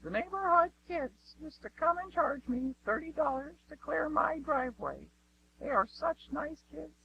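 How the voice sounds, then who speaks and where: A woman's voice: high-pitched, sing-song, measured pace, vocal fry, exaggerated voice. A woman voicing a frail, forgetful old granny who rambles through a parody advert testimonial. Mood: rambling, befuddled. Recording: muffled, distant, noisy radio-style recording.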